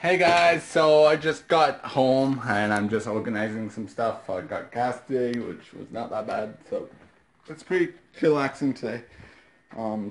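A man in his twenties vlogging casually into a handheld phone, halting and repetitive, often trailing off into vague asides. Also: medium pitch. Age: 20s. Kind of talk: casual rambling vlog talk. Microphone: phone at arm's length. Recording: faintly noisy handheld phone recording.

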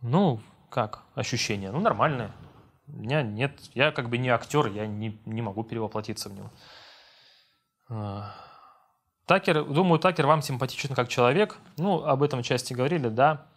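A young man, a voice-over dubber, casually reading out viewer comments and answering them at his desk at home, relaxed and self-deprecating. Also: medium pitch, moderate pace, slightly rough. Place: home room with monitors, indoors.

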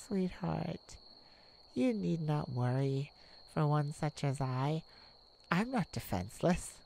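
A woman playing a tenderhearted warrior mage in a soft ASMR romantic roleplay, speaking in a hushed, soothing, halting way. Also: lowish voice, gravelly, a little hiss or hum, rough recording. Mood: gentle reassurance. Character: tenderhearted young warrior mage comforting a werewolf lover. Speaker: audio roleplay performer.

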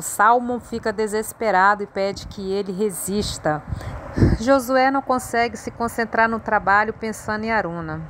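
A woman from Brazil, reading a soap opera plot summary in a brisk, even way. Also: medium pitch, a little hiss or hum. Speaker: soap opera recap narrator. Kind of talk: read-aloud plot summary.

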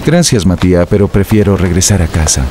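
An actor playing a humble, devoted young village priest, soft-spoken and modest, in a dubbed film. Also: male voice, sits low, animated, brisk, noisy background. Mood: earnest. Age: young adult. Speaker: newly ordained priest. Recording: film dub with loud score.

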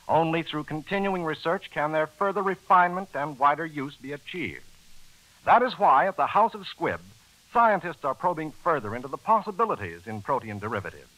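American guy, 1940s radio announcer, big melodic swings, highish voice, old broadcast sound. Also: fairly bright tone, poor audio.

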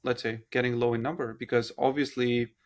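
Man, podcast host, mid pitch, probing questions, rephrases a lot, video call from home. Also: little pitch movement, fairly quick. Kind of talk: interview questions. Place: room at home, indoors. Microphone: laptop on a video call.

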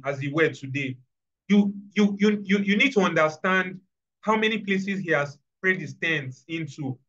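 An earnest man, his voice sitting a little high for a man. He talks through a webinar on a video call, doubling back and repeating words as he builds his point. The audio comes through muffled and lo-fi. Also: fairly bright tone. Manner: earnest, repeats himself, many restarts. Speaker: webinar speaker. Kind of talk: webinar talk on HR careers. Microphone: webcam video call.

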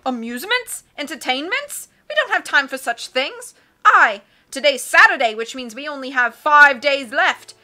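Woman doing a booming, blustering sea captain. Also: expressive, moderate pace, bright, forceful tone, heavily acted. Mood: hearty bluster. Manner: booming, rambling, cries out his lines. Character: blustering male submarine captain, loud and hasty. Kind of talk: read-aloud storybook acting.

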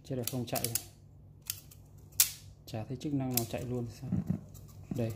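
A man's voice: medium pitch, flat delivery, brisk. A man giving a casual, hands-on repair explanation in an informal, demonstrative way. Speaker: repairer presenting a repair video. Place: indoors at a repair workbench. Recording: background noise, room ambience.

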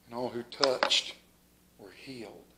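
A middle-aged man, a pastor, preaching a lively sermon in a small church, reading scripture and moving around the pulpit with dramatic pauses. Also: mid-range voice, noisy background. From United States. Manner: animated, moves about the pulpit, dramatic pauses. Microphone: stand mic at pulpit, far camera.

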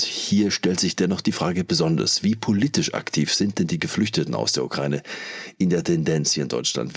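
A man's voice: low voice, slightly rough. A man hosting a podcast, presenting scripted introductions and interview questions. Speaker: podcast host.

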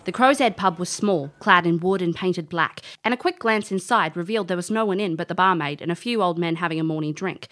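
Woman playing a low-voiced wry investigator. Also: brisk, hardly any pauses, fairly bright tone, natural voice. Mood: storytelling. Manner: wry, casual, confident. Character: cocky, wry female private investigator. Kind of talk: audio drama acting and narration.

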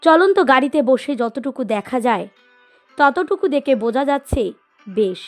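A woman reading a scripted literary story as narrator, in an expressive storytelling style. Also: high-pitched, normal speed. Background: music.

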